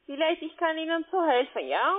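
A woman with a foreign accent, not a native speaker, giving a phone sales pitch as a call centre agent in halting, broken phrasing. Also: sing-song. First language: not German. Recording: muffled tinny phone line.

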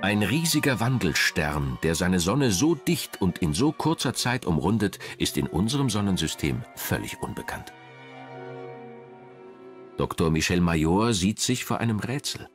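A man narrating a scripted documentary in a measured, dramatic way. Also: deep voice, sing-song. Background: background music.

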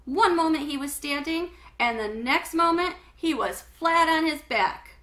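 Bright, higher-pitched woman reading to children. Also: expressive, unhurried. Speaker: read-aloud storyteller. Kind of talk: expressive children's book read-aloud narration. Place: small home living room, indoors.

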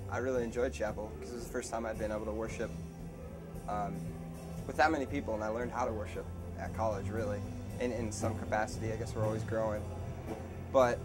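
Young student guy, humble, talks low, band blasting under him. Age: young adult. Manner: thoughtful, humble. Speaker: student guitarist. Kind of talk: documentary interview. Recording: interview with loud band music behind.

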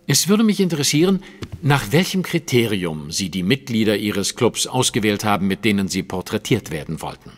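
An actor playing a cool, analytical and self-assured detective in a German audio drama, with precise, formal diction. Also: male voice, on the low side, big pitch swings, normal speed, no room sound. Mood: probing.

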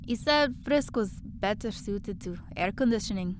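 A woman's voice: medium pitch, expressive, measured pace, slight background noise, close to an everyday voice. A woman playing a breezy, blunt young part-time worker in an audio drama, chatty and offhand. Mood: chatty, hesitant.

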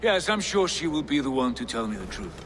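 A man's voice: fairly high pitch, very animated, a little fast, fairly bright tone, slight background noise. An actor playing a proud, suspicious Viking jarl in his thirties, theatrical and accusing, in a video game. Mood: bitter, suspicious.

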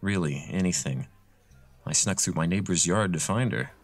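A man's voice: low-pitched, moderate pace, faint music behind. An actor playing a chatty, awkwardly eager, faintly creepy man in a scripted horror audio drama.

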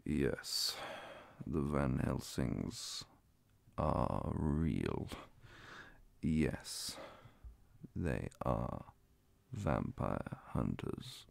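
A man voicing a calm, formal vampire inquisitor in a soft-spoken, whispered ASMR roleplay, questioning in a measured, deliberate way. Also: very deep voice, creaky, no echo, pitched below the performer's own voice, heavily acted. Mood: soothing, measured. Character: calm, formal male vampire inquisitor, centuries-old authority.

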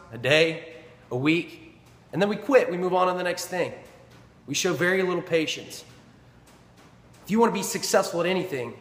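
Man, personal trainer, emphatic motivational talk, slightly high voice, reverb-heavy gym room. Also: a bit bright. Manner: earnest, emphatic, repeats phrases for stress. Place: small indoor gym. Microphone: camera in front at close range. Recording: echoey gym with long reverb.

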